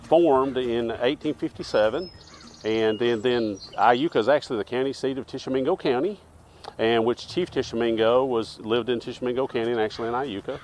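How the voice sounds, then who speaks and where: A man's voice: medium pitch, darkish tone. A man, a town mayor being interviewed outdoors in a park. He talks in a friendly way and often repeats words as he starts a phrase.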